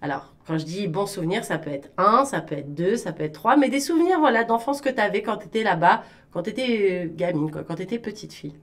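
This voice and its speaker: A woman's voice: medium pitch, big pitch swings, brisk, few pauses. A woman interviewing a guest on radio in a casual, chatty and informal way.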